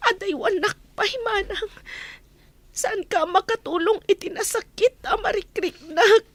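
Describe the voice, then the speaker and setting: A woman's voice: very high voice, a bit bright, no room sound. A woman playing a distressed young mother in a radio drama, pleading in a tearful, plaintive voice. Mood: pained, pleading. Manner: tearful, plaintive, drawn-out delivery.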